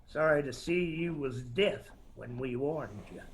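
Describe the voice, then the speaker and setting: Menacing folksy Appalachian older man acted by a male performer, radio play; unhurried drawl, gravelly creak. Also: mid-range voice, a little hiss or hum, close to an everyday voice. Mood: mock-sorry menace. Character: menacing, sly older feuding hill man, Uncle Jim Vance. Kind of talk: radio drama acting.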